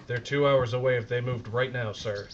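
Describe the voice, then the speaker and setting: An actor playing a shaken but steadfast American army sergeant in a war horror audio drama, earnest and terse. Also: male voice, mid-range voice, steady tone, normal speed, lightly acted. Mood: determined. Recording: muffled lo-fi audio with noise.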